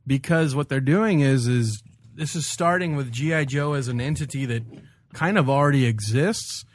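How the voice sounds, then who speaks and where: Guy co-hosting a podcast, slangy and jokey, regular pitch, no room echo. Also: moderate pace. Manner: informal, slangy, joking. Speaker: podcast co-host. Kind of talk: casual chat.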